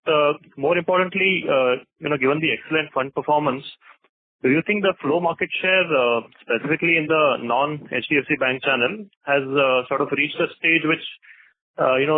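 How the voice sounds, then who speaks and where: A man, an analyst, asks a detailed spontaneous question on a conference call. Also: medium pitch. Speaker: bank analyst asking questions. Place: conference call over phone line. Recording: narrow tinny phone line.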